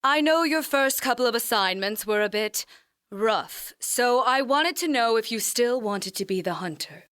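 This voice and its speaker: Reassuring grandmother, played by a woman: fairly high, smooth voice, polite, dry studio sound, audio drama. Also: lively intonation, moderate pace, a bit bright, no room sound. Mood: concerned. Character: gentle, concerned grandmother.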